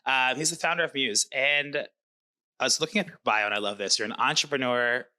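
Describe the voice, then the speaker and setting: A man's voice: mid-range voice, brisk, sharp tone, clear, resonant tone. A man hosting a podcast, interviewing in an upbeat, informal and affirming conversational style. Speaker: podcast host.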